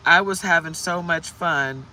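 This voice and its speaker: Hoarse chatty woman tarot reader. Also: on the low side, a little slow, bright, forceful tone, slight background noise, low-quality recording. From United States. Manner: chatty and upbeat, greeting viewers by name. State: hoarse from shouting. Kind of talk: casual livestream chat.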